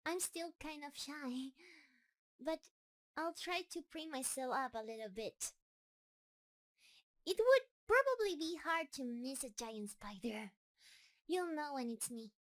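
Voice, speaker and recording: Woman voicing a high-pitched, shy lovestruck spider creature. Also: very animated. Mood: shy, sweet. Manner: nervous, hesitant, tender, halting. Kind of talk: roleplay audio drama, intimate monologue to listener.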